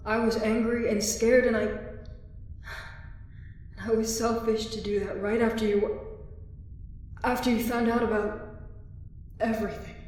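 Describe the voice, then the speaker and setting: An actress in an audio drama playing a wounded but wryly joking apocalypse survivor, halting and self-mocking. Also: female voice, a little high, darkish tone. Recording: very echoey hall-like reverb.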